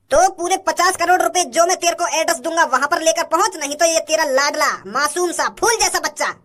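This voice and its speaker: A woman voicing a greedy, boastful male kidnapper in a comic cartoon, with a taunting, theatrical, drawn-out delivery. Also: extremely high pitch, a bit bright. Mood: menacing, taunting. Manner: taunting, drawn-out, sing-song gloating. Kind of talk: comic cartoon villain dialogue.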